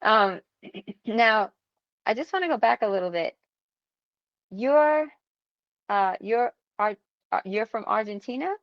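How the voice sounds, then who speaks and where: A woman's voice: fairly high pitch, animated, takes it slowly, pauses often, no echo, lo-fi sound. A woman who hosts the interview, asks questions and restates the guest's answers back to her. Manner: prompting, rephrases the guest's answers. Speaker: interviewer, host.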